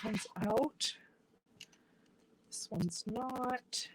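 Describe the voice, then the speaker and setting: A woman's voice: a little high, extremely slow, a little hiss or hum, low-quality recording. A woman who is losing her voice gives a chatty, step-by-step craft tutorial at her worktable, talking to viewers as she works. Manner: chatty, talks to viewers while working with her hands. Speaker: independent stamping demonstrator. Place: at a craft table.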